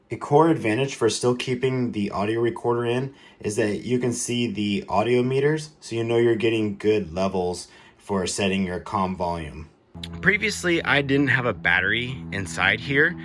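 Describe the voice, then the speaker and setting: A man's voice: mid-range voice, a bit bright. A man talking casually as a vlog host, explaining gear in an informal way. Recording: clearly noisy background ambience.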